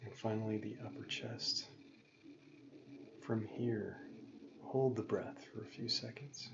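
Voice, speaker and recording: Slow, low, gravelly man guiding meditation. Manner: calm, gentle, measured instruction with pauses. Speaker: yoga and meditation teacher. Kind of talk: guided meditation instruction. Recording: dull lo-fi recording with faint noise.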